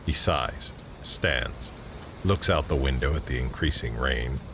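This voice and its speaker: An actor as a narrator, reading scripted scene directions for an audio drama. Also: male voice, very deep voice, unhurried, vocal fry, noisy background, heavily acted. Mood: measured.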